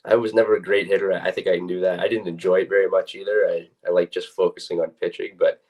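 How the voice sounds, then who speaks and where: A man's voice: sits low, little pitch movement, fast, darkish tone, low-quality recording. A young man, a student athlete, giving casual, plain-spoken and somewhat hesitant interview answers. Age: young adult.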